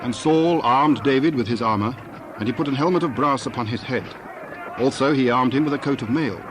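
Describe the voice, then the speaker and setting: Mid-pitched man narrating scripture, noisy background. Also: brisk.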